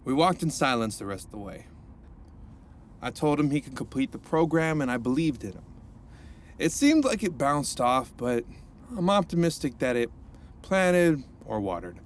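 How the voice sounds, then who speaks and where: Earnest ex-supervillain counselor guy, a man acting him, gentle encouraging voice, mid pitch. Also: very animated, moderate pace, a little hiss or hum, lightly acted. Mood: reflective. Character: earnest reformed supervillain, now a male counselor. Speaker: rehab counselor and narrator. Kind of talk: audio drama acting.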